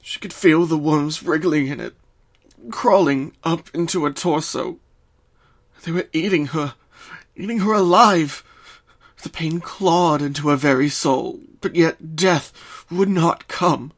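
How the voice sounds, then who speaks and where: A man's voice: on the high side, a little slow. Male narrator for a dramatic fan-fiction style story reading.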